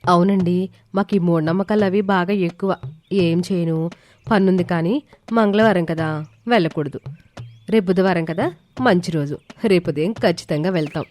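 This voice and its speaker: Cunning, lowish-voiced female guest played by a woman. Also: moderate pace, pauses often, a little mellow, lightly acted. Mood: ingratiating. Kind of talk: cartoon moral story. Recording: music under the voice.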